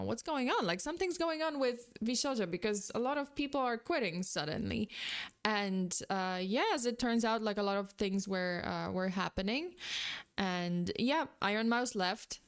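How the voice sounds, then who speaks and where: Polish-accented woman chatting on stream, smooth clear voice, keeps rambling with um and like. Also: medium pitch. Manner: rambling, laughs often, many fillers. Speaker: VTuber commentator. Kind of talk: casual commentary.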